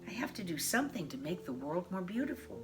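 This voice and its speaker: Woman reading as a gentle, earnest old lady. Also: fairly low pitch, sing-song, quick pace, over music, voice pushed up. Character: elderly gentle woman, delighted and determined gardener.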